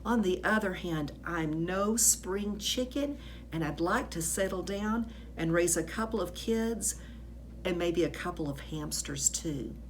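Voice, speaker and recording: Brief: woman plays friendly middle-aged janitor in a kids' storybook, earnest, excitable, slow-paced, faint noise. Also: fairly low pitch. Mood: earnest, hopeful. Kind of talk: children's storybook character voice.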